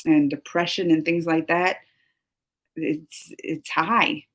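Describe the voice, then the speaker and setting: A woman's voice: low voice, steady tone, slow. Emotional rambling woman streamer. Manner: emotional, rambling, many restarts and fillers. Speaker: livestream host. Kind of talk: casual live stream politics chat.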